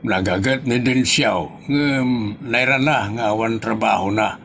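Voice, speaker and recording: A man's voice: mid-range voice, a little slow, creaky, fairly bright tone, slight background noise. A man with an Ilocano accent playing a weary, grumbling husband and father in a comedy audio drama.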